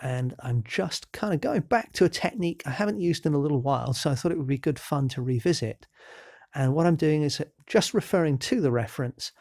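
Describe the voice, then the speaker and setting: A man with a bit of a cold talks through a painting demo in a relaxed, explanatory way. His voice carries a gravelly edge at a middling pitch. The sound is dry and close, with almost no room around it. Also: no room sound. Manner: relaxed, explanatory, with frequent ums and self-corrections. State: has a cold. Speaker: painting instructor. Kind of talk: real-time painting demo narration.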